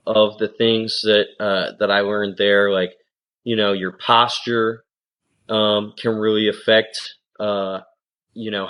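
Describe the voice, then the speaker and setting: A man's voice: on the low side, fairly bright tone. A man from the United States, a coxswain and coach, answering interview questions in a thoughtful, casual way with lots of "you know" and "um". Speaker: rowing guest, coxswain and coach.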